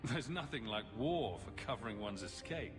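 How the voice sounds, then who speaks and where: An actor playing a wry, sardonic mercenary hero in a video game, flippant about danger and killing. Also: male voice, medium pitch, expressive, normal speed, natural voice. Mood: dryly curious. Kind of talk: dramatic video game voice acting. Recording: game dialogue with music underneath.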